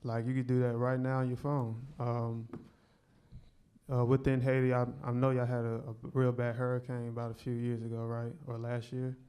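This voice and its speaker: A man's voice: medium pitch, monotone, moderate pace, dark tone, clear, resonant tone. A man on a panel giving laid-back, plain-spoken answers.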